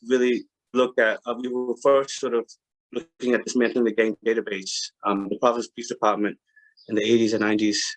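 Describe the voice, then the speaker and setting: A man in his thirties with a Boston accent, speaking earnestly as a panelist on a video call, with many pauses and restarts. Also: medium pitch, fairly bright tone, poor audio. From Cambodia.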